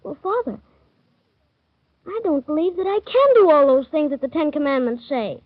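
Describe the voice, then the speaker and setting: A woman's voice: sing-song, normal speed. An eager little boy. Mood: earnest. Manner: high, eager questioning. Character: eager, earnest little boy, curious and bold. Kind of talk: 1930s radio drama acting. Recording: dull old radio broadcast.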